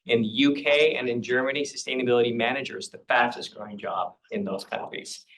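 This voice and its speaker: A man's voice: mid-range voice, steady tone, fairly quick, fairly bright tone, slight background noise, rough recording. A man, a professor on a panel, speaking in an earnest, emphatic lecturing manner. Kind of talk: panel talk.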